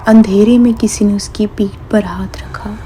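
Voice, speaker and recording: A woman narrates a scripted horror tale. Her voice is medium in pitch, mellow and unhurried, with a little noise in the background. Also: fairly even intonation.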